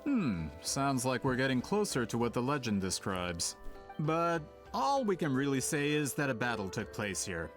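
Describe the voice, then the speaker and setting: Polite but driven male expedition leader for a video game, theatrical, hugely varied pitch, faint music male actor. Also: very animated, normal speed, lightly acted. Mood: sceptical.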